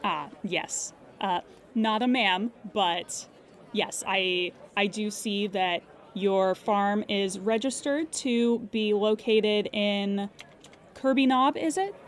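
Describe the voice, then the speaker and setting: A woman playing a calm, formal claims agent who speaks politely and methodically on a phone call in an improvised comedic audio drama. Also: medium pitch, lightly acted. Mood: patient, formal. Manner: polite, measured, procedural, matter-of-fact.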